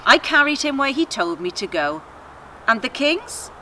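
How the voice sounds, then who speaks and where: A woman's voice: high voice, very animated, unhurried, sharp tone, voice pushed up, exaggerated voice, poor audio. A woman voicing a talking female donkey in a children's story, worldly, wry and self-assured. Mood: wry, matter-of-fact. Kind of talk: children's storybook character reading. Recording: faint outdoor garden noise.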